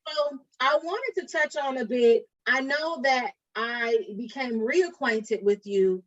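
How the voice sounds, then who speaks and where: A woman's voice: high voice, takes it slowly, a bit bright, dry recording. A woman hosting a podcast interview, affirming her guest and asking long, layered questions. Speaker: podcast host. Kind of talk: casual interview. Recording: dry, dull lo-fi audio.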